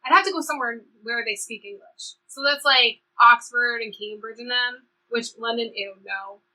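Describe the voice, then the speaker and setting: A woman's voice: fairly high pitch, moderate pace, bright, forceful tone, rough recording. A woman playing a frustrated, sarcastic seventeen-year-old girl in an audio play, speaking emphatically and conversationally. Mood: animated, sarcastic. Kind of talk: audio play reading, conversational teen dialogue.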